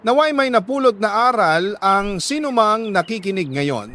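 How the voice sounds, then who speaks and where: A man with a Filipino accent reads a letter as a storyteller, in a sentimental, measured dramatic narration broken into short phrases. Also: high voice, lively intonation, pushed and bright. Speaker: storyteller reading a listener's letter. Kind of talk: dramatic scripted first-person narration of a love story.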